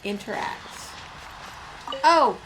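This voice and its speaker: A woman's voice: a little high, expressive, drawn-out words, background noise. A woman streamer giving casual, enthusiastic live game commentary and chatting to her viewers. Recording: game music behind voice.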